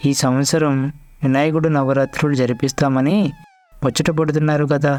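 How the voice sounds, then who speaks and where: A man's voice: a little high, flat delivery, a little fast, faint music behind, close to an everyday voice. A man playing a dutiful, respectful village elder in a cartoon story, earnest and plain-spoken. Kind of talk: Telugu cartoon story dialogue.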